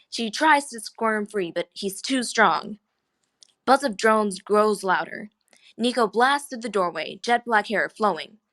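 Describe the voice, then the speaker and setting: Woman narrator reading script action lines at a table read, mid pitched voice. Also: a bit bright.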